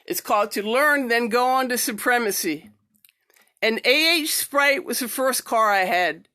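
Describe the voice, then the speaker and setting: Slow-paced woman with a higher voice. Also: pushed and bright, dry recording, studio quality. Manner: halting, repeats words and syllables when speaking off the cuff. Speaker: amateur poetry reader and singer. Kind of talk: poetry recital with informal spoken introductions.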